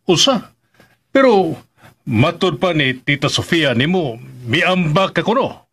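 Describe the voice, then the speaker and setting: An actor playing a concerned middle-aged father in a radio drama, with a husky voice. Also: fairly high pitch, sing-song, slow, a bit bright, full character voice. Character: concerned middle-aged father, Rolando, questioning his son.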